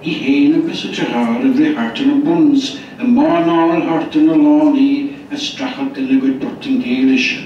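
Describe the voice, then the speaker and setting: An older man, a poet and translator, reciting poetry slowly and deliberately on a festival stage into a handheld mic. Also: a little high, darkish tone. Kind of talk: poetry reading. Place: festival stage, indoor hall. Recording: echoey hall from far off, dull and noisy.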